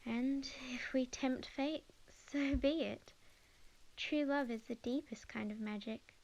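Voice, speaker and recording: A young witch in love, played by a woman with an Australian accent. Her voice is fairly high and softly intimate, in the manner of an ASMR romance roleplay. She speaks earnestly and tenderly, with hesitations and phrases that sink toward a whisper. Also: slow pace, dull audio. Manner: soft, hesitant, sometimes dropping to a whisper. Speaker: ASMR audio roleplay performer.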